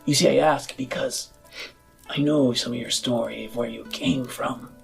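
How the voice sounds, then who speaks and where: A man playing a courteous, earnest politician campaigning for power in a roleplayed audio drama, speaking formally and deliberately. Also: mid-range voice, fairly even intonation, creaky. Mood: earnest, flattering. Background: music.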